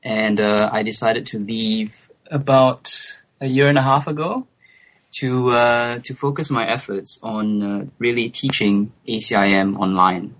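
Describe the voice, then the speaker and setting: A man in his twenties from Singapore, a guest on a remote call, speaking thoughtfully with soft laughs and hesitant fillers. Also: fairly low pitch, steady tone, a little slow. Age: 20s. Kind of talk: relaxed interview chat.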